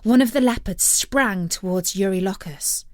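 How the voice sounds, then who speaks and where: A woman narrating a scripted story in an expressive, measured storytelling manner. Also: medium pitch, no room sound, clean studio sound.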